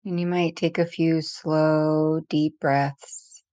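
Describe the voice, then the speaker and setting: A woman guides a meditation in a lowish, softly even voice with little rise and fall. Her phrases are gentle and spaced by long pauses. The sound is very dry and close, without room echo. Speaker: meditation teacher.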